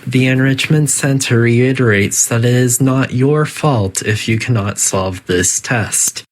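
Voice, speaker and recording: A performer voicing a polite, coolly menacing computer announcer in a video game, speaking in a formal, measured, detached way. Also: medium pitch, a little hiss or hum, lightly acted. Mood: deadpan, discouraging. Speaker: facility announcer guiding test subjects.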